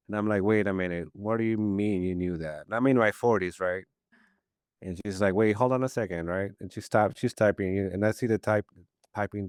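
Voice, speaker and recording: Deep smooth-voiced man. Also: no echo, studio quality. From Peru. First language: Spanish. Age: 50s. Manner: rambling, animated storyteller with many restarts. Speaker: poet, podcast guest. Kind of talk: casual storytelling interview.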